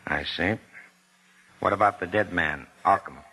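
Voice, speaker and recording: An actor playing a police lieutenant, a terse and controlled interrogator, in vintage radio drama style. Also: male voice, deep voice, unhurried, pushed and bright, husky, exaggerated voice, low-quality recording. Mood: cool, probing. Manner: clipped, controlled questioning. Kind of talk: 1940s-50s radio drama acting. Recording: old radio broadcast.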